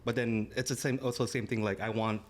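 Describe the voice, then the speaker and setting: A man's voice: on the low side, little pitch movement, fast. A man co-hosting a podcast, talking in an earnest, supportive, casual way. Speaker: podcast co-host. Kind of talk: casual chat.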